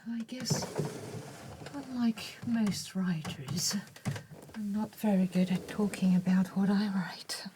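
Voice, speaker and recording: A woman playing a shy, courteous village writer in a soft-spoken ASMR roleplay, hesitant and gentle, with a breathy whisper. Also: on the low side, exaggerated voice. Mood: bashful, reluctant. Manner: hesitant, gentle, politely formal.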